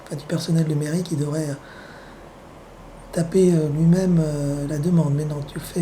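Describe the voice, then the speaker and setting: Hoarse man with the flu, sardonic. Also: fairly high pitch, dark tone, slight background noise, poor audio. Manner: sardonic, wry, full of hesitations. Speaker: vlogger. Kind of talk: rambling casual monologue.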